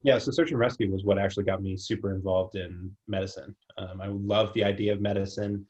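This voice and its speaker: A young man with a slight New Zealand accent, a company founder talking in a relaxed, plainspoken way as an interview guest on a video call. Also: low voice, fairly quick, slightly rough, muffled recording. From United States. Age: young adult. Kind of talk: casual interview.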